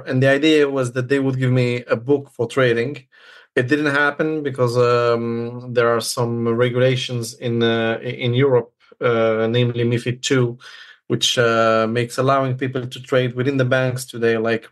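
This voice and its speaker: A man's voice: medium pitch, little pitch movement, moderate pace. Man from Morocco explaining in casual interview. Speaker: podcast guest, trader.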